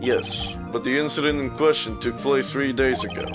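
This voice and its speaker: A man's voice: medium pitch, normal speed, music in the background, lightly acted. A man voicing an arrogant, domineering prosecutor in a video game, stern and impatient. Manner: stern, imperious.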